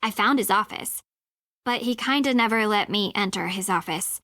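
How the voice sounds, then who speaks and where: A woman's voice: mid-range voice, moderate pace, pushed and bright, dry recording, clean studio sound. A woman voicing a sceptical, wisecracking young woman in a video game, with a casual, sarcastic delivery. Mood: exasperated, casual.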